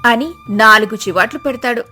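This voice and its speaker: Lady telling a kids moral story, really high voice, light music under it. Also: moderate pace, pushed and bright. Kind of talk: scripted moral-story narration.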